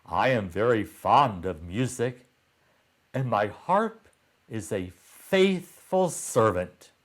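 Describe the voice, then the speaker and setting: Storybook giant, played by a male reader: huge, commanding, music-loving, very slow delivery. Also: on the low side, animated. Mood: fond, boastful. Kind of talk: storybook read-aloud character.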